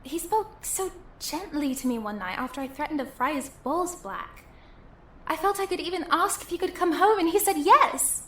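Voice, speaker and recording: Exuberant little sister, British, extremely high voice with echo, cheeky and potty-mouthed, audio drama. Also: female voice, lively intonation, moderate pace, a little hiss or hum. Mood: excited, affectionate. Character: bubbly, foul-mouthed young little sister. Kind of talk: comic audio drama acting. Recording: echoey, reverberant voice.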